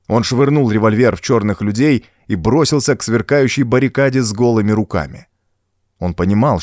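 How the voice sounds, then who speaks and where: A man's voice: fairly low pitch, darkish tone, dry recording, studio quality. A man reading a pulp horror story as an audiobook, in a dramatic, scripted storytelling manner. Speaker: audiobook narrator.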